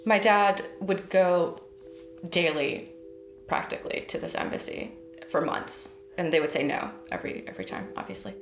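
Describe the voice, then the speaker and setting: A woman's voice: on the low side, very animated, moderate pace, roomy sound, music in the background. A woman in her thirties, a poet, talking thoughtfully and hesitantly about her life, often restarting her sentences. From United States. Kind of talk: reflective personal interview.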